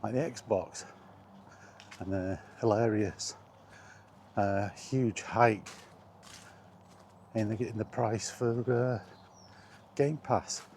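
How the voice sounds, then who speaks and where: A middle-aged man in an unhurried, halting, reflective solo chat as a gaming vlogger. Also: on the low side, a little mellow, a little hiss or hum. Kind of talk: rambling casual chat about video games.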